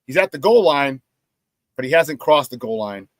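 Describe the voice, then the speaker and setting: Brief: middle-aged male sports talk host, hoarse, dry close mic, animated and repetitive. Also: medium pitch, normal speed, dry recording. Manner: animated storyteller who repeats phrases and laughs at his own stories. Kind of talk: casual sports talk monologue.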